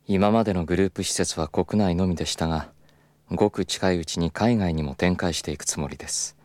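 Man acting a very deep-voiced, self-assured executive. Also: darkish tone, dry recording, natural voice. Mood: suave, persuasive. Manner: polite, unhurried, insinuating formal business speech. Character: smooth, persistent, self-assured male club executive from Kyoto. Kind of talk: Japanese audio drama acting.

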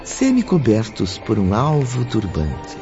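An actor playing a solemn storytelling narrator in a scripted radio drama, with a Brazilian accent. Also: male voice, fairly low pitch, huge pitch swings, slow pace, full character voice. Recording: muffled audio with music.

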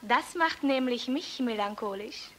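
A young actress playing a pert, coy nineteen-year-old in a German radio drama, playful in manner. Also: female voice, fairly high pitch, lively intonation, measured pace, slight background noise. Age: young adult. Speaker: actor in a radio play.

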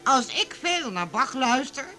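An actress playing a blunt, touchy, domineering woman of about fifty in a Dutch radio drama, loud and shrill. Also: sing-song, measured pace, faint music behind, exaggerated voice. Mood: blunt, complaining. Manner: blunt, dismissive.

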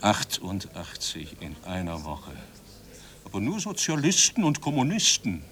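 A man's voice: low-pitched, huge pitch swings, unhurried, a bit bright, full character voice. An actor in a radio drama playing an exasperated, combative male doctor, emphatic and reproachful. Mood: reproachful, agitated. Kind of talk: German radio drama acting.

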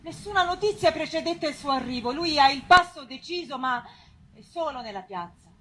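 A woman's voice: high-pitched, expressive, moderate pace, a bit bright, a little hiss or hum. A woman delivering scripted theatrical narration of historical events.

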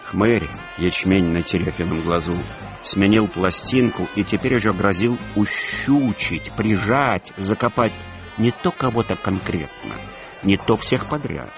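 A man narrating a literary audio play with expressive storytelling. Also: low-pitched, unhurried, music in the background.

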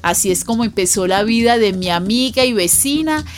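An actress playing a neighbour and friend who narrates a radio drama in an intimate, conversational way. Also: female voice, a little high, expressive, close to an everyday voice. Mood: reflective. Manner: conversational, deliberate. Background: music.

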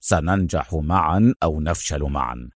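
A stubborn military hero, voiced by a male narrator. He speaks very low and firmly, in a measured, sardonic manner, as in a dramatic audiobook. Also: lively intonation, no room sound, clean studio sound.

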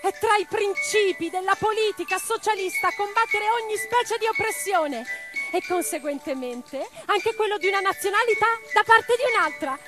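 An actress playing a spirited, passionate young woman revolutionary in a radio play, expressive and theatrical. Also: extremely high pitch, moderate pace, over music. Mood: fiery, oratorical. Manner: expressive, theatrical, declamatory when roused.

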